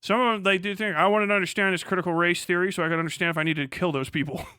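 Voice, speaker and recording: A man's voice: sits high, fairly even intonation, rapid-fire, sharp tone, clear, resonant tone, no echo, clean studio sound. A man doing casual, joking reaction commentary as a live streamer, sarcastic and swearing freely.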